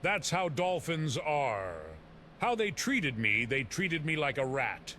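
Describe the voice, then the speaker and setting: A man's voice: on the high side, very animated, slow pace, pushed and bright, slight background noise, exaggerated voice. A man voicing a frantic, paranoid old man in hiding who rambles in fantasy game dialogue. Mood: paranoid, agitated. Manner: rambling, agitated.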